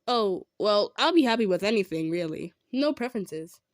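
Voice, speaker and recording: Kind female centaur, played by a woman: mid-pitched, modest, softly insistent, roleplay delivery straight to the listener, no room sound. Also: animated, normal speed, no room sound, clean studio sound, natural voice. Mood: shy, hopeful. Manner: earnest, hesitant, gentle insisting. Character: kind, humble, self-effacing female centaur. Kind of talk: improvised audio roleplay addressed to the listener.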